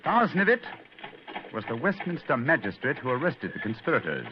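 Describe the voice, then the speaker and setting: A man plays an analytical British gentleman detective with a mid-pitched, very lively voice. He lectures with assured precision in old radio-drama style. The recording is muffled with faint noise. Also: brisk, close to an everyday voice. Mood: explanatory, confident. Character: confident, analytical English gentleman detective, slightly superior. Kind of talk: old-time radio mystery acting.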